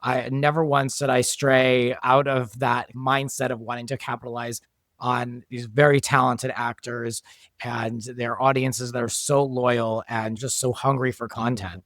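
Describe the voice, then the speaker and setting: A man's voice: mid-range voice, level tone, measured pace, fairly bright tone, dry recording. A man being interviewed as a producer and director, explaining things in a conversational way. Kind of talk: interview talk.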